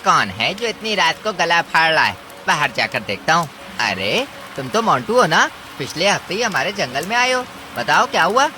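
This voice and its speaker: A man's voice: a little high, big pitch swings, moderate pace, hardly any pauses, pushed and bright, heavily acted. A man voicing a grumpy, sleepy male bird neighbour in a cartoon story, grumbling and reluctant. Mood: grumpy, irritated. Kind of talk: Hindi cartoon story dialogue. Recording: clear rain and ambience noise.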